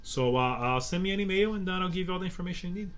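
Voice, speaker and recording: A man's voice: high voice, animated, racing. A man from Brazil with a Brazilian Portuguese accent, speaking English as a second language as a podcast guest, earnest and repeating words as he searches for them. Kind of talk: casual interview conversation.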